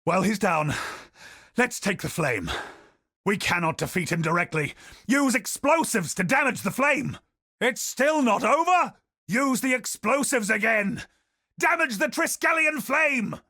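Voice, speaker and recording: An actor playing a bold, honor-bound adventurer in a video game, with theatrical battle cries. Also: male voice, very high voice, animated, measured pace, sharp tone, no echo, clean studio sound, heavily acted. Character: bold male knightly treasure-hunting adventurer.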